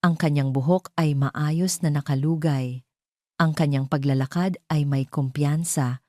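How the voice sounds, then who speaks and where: A Filipino woman narrates a scripted audio drama. Her voice sits low and has a soft, dark tone. The sound is dry, with very little room in it. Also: steady tone, a little slow, no echo.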